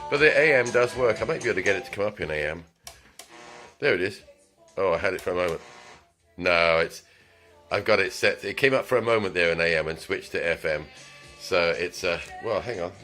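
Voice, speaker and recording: A man demonstrating a car radio in his small repair shop, talking casually, with ums and throat clearing. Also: low-pitched, a little fast, pushed and bright. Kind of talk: casual hands-on product demonstration. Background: car radio playing music.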